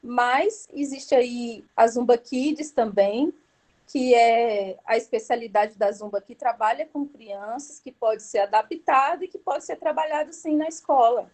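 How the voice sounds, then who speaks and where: A woman with a mellow, warm voice pitched a little high, talking on a video call. She answers slowly and haltingly, stopping and restarting often as she explains her work as a Zumba instructor. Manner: halting, many fillers and restarts. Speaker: Zumba instructor guest speaker. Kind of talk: hesitant spontaneous academic answer.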